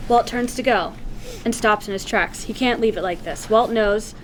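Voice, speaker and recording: A woman's voice: a little high, normal speed. A woman reading stage directions aloud as scripted narration at a table read. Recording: noisy room table-read recording.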